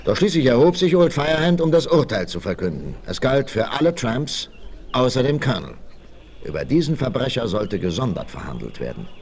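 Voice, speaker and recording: A man's voice: medium pitch, sing-song, brisk, creaky, darkish tone, slight background noise. A man giving scripted dramatic narration in the manner of a 1960s radio play.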